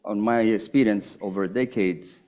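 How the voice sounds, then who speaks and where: Panel speaker: middle-aged man, slightly low pitch, halting and thoughtful, lots of pauses. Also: fairly even intonation, dark tone. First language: Italian. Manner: halting, hesitant, with many pauses and restarts. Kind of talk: reflective public conversation.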